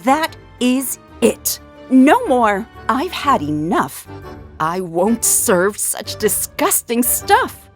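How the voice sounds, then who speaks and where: A woman voicing an excitable, fussy woman chef in a children's storybook, with a prim theatrical manner. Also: fairly high pitch, extremely slow, soft background music, voice pushed up, heavily acted. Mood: appalled.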